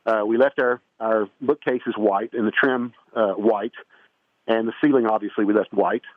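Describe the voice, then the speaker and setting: A man's voice: fairly low pitch, steady tone, moderate pace, no echo. A man giving home improvement advice as a radio show guest, talking in a folksy, rambling way with many restarts. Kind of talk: casual radio interview. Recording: tinny phone-line sound.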